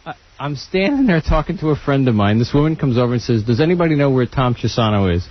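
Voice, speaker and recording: A man's voice: mid-range voice, lively intonation, quick pace. Defensive man in his fifties on radio. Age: 50s. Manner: defensive, protesting. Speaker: radio general manager. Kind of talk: casual on-air banter. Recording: slightly dull radio broadcast audio.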